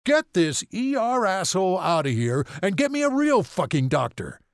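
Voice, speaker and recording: Man acting a brusque, hot-tempered father, pitched up. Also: big pitch swings, normal speed, dry recording, clean studio sound. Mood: furious. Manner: yelling, brusque. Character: loud, foul-mouthed, hot-tempered middle-aged father. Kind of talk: audiobook character acting.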